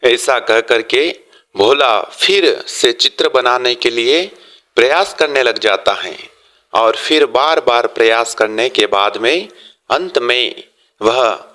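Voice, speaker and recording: A man's voice: mid-range voice, expressive, slow, sharp tone. A man giving scripted narration as the storyteller of a moral tale.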